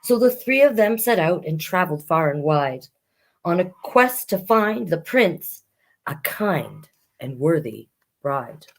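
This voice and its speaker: A woman's voice: lowish voice, very animated, measured pace. A woman hosting a children's storytime, reading picture books expressively with chatty asides to young listeners.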